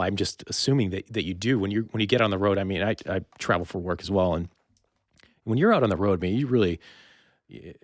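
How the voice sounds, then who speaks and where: Low-voiced man interviewing, dry close sound. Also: vocal fry, no room sound. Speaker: podcast host, interviewer. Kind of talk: casual interview chat.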